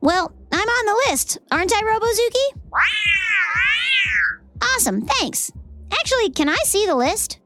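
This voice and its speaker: A woman's voice: extremely high pitch, big pitch swings, measured pace, pauses often, loud music behind the voice, full character voice. A woman playing a friendly, curious young girl with a breezy manner in a children's audio comedy.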